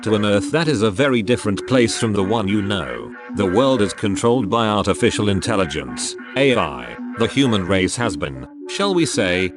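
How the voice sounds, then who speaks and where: A low, expressive male voice of an actor as an AI guide, with music underneath. He is composed and measured, telling his story with wry understatement. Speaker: narrator. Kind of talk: scripted storytelling narration.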